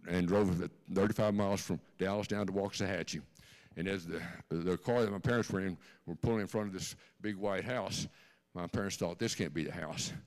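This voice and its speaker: Deep-voiced older Texan man telling a story. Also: a little mellow, low-quality recording. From Texas, born in Austria. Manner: earnest storyteller, repeats key lines for emphasis. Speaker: guest speaker. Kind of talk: personal testimony told to a congregation. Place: church sanctuary.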